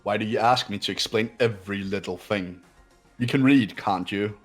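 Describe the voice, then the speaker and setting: A pompous young intellectual from a video game, voiced by a man, low and husky. He sounds condescending and analytical, with music underneath. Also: natural voice. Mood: impatient. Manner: pompous, impatient, deliberate.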